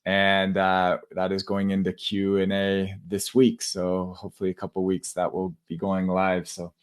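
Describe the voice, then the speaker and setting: Man, low voice, podcast host, casual, upbeat shout-outs. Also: completely flat delivery, moderate pace, clean tone.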